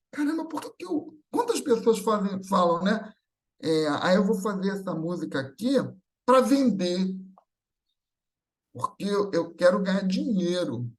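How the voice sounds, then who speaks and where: Brazilian guy doing a chatty religious talk, pretty high voice, keeps asking little check-in questions. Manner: informal, often checking in with rhetorical questions. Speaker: speaker at a Spiritist gathering. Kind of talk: religious talk, conversational and explanatory.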